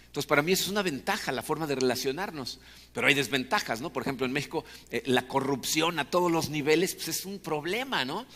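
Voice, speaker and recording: Man, Mexican accent, fairly high-pitched voice, conversational sermon, explanatory, frequent tag questions, long hall reverb. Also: expressive, fast, fairly bright tone. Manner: explanatory, often seeks agreement with short tag questions. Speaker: preacher.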